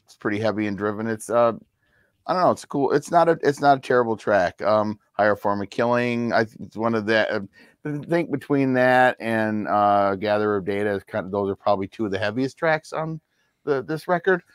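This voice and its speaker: Middle aged dude, smooth kinda low voice, offhand music opinions, understated, casual. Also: male voice, brisk, no echo, studio quality. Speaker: album review panelist. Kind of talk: casual music review chat. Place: home room with record shelves.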